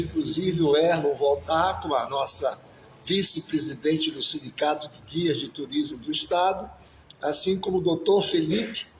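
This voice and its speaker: A man from Bahia, Brazil, a radio and TV presenter, giving an impromptu speech in the manner of a broadcast greeting. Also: on the high side. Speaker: radio and TV presenter, tourism advocate. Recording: echoey room with background noise.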